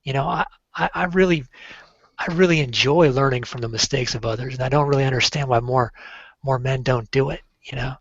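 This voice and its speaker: A man's voice: mid-range voice, brisk, fairly bright tone, no room sound. A man in a casual online discussion, talking in a hesitant, agreeable way with many fillers and restarts. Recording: dry, dull lo-fi call audio.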